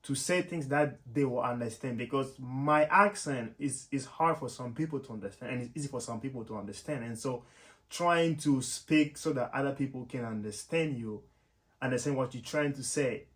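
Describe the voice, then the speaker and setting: A man's voice: mid-range voice, moderate pace, fairly bright tone. Man, casual vlogger. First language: French. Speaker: YouTube host and software developer. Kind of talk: casual explanatory vlog talk to viewers.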